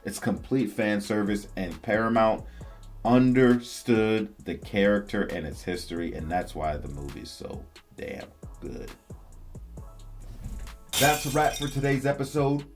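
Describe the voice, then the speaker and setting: A man's voice: on the low side, lively intonation, a little slow. A man hosting a vlog, giving casual, enthusiastic opinion commentary to viewers in slangy fan talk. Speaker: host of a video game and movie news channel. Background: background music.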